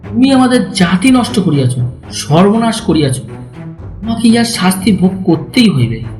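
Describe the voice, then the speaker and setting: In a strong echo with music behind, a man plays a distraught young man in a literary audio drama. His voice is pushed high, trembling with anguish and accusation. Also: big pitch swings, moderate pace, a little mellow. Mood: furious. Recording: echoey voice over background music.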